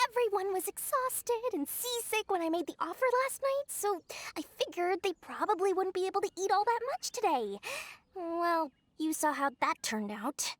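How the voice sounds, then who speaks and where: High, swooping, exasperated young merchant. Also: female voice, lively intonation, normal speed, fairly bright tone, no echo. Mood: sheepish. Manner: hesitant, sighing, theatrical. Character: thrifty, dramatic young female scholar-merchant, easily exasperated. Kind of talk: video game cutscene acting. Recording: music behind the voice.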